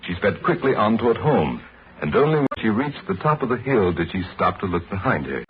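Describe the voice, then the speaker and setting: A man narrating a dramatic story in a solemn, measured old-time radio style. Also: on the low side, sing-song, slight background noise. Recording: old radio broadcast, dull sound. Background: music.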